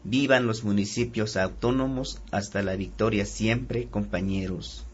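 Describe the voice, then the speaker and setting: A man radio announcer reading listener messages aloud in a calm, even way. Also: on the low side, unhurried. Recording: dull lo-fi radio with faint hiss.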